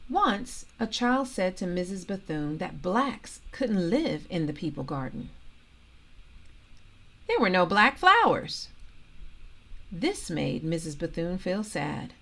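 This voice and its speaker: A woman reading a children's biography aloud as a storytime host, in deliberate short phrases with expressive delivery. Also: on the low side. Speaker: storytime reader and host, organisation president.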